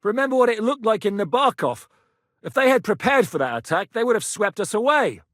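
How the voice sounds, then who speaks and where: An actor playing a gruff, blunt medieval war captain who shouts battle orders. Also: male voice, high voice, very animated, fairly quick, dry recording, studio quality. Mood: dry, blunt. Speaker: military commander. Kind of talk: game voice lines.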